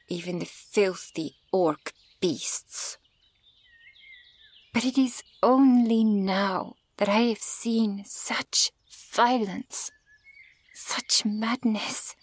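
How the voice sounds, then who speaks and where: A woman performs a centuries-old female elven archer for a fantasy ASMR roleplay. Her voice is creaky and breathy, often sinking toward a whisper, and she speaks slowly and deliberately. She sounds stern, accusing and bitter toward humankind. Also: medium pitch. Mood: bitter, grieving. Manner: measured, dramatic, accusing.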